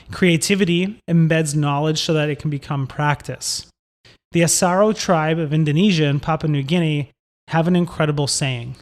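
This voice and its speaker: A man narrating a scripted book summary in a measured, instructional way. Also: a little high.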